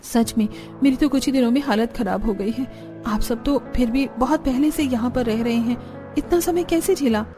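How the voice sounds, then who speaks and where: A woman's voice: on the high side, moderate pace, dark tone, faint music behind, natural voice. A woman voicing a young village daughter-in-law in a cartoon, complaining in a dramatic, exasperated way.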